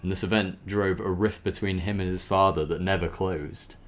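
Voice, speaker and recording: A man's voice: deep voice, steady tone, moderate pace. A man giving an informal dramatic reading of a story, stumbling now and then and adding chatty asides. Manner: stumbles over words and breaks off to comment. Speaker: host reading a backstory aloud.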